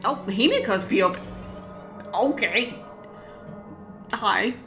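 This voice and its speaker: Expressive woman with widely swinging pitch. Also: takes it slowly. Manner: blunt, expressive, swears freely. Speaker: streamer reacting to a game story. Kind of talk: casual live reaction commentary. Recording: game music playing behind. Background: video game music and dialogue.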